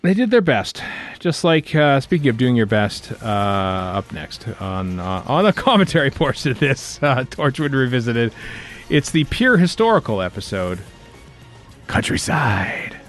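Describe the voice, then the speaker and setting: A man's voice: medium pitch, big pitch swings, measured pace, fairly bright tone, faint music behind. A man hosting a podcast, chatting casually over an episode in a jokey, rambling way. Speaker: podcast host. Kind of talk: casual episode commentary chat.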